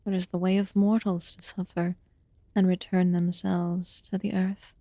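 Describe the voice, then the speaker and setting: A woman playing an ancient, aloof fae in a fantasy ASMR roleplay, murmuring softly and formally with some whispered phrases. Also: lowish voice, level tone, dry recording, clean studio sound, natural voice. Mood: curious, detached. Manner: slow, deliberate, archaic formal wording. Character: ancient aloof female fae recluse, haughty yet quietly caring.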